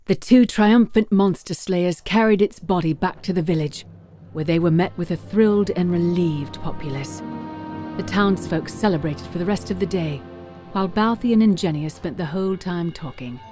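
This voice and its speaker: Low-pitched woman narrator over music. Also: moderate pace, warm tone. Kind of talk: dramatic scripted storytelling narration.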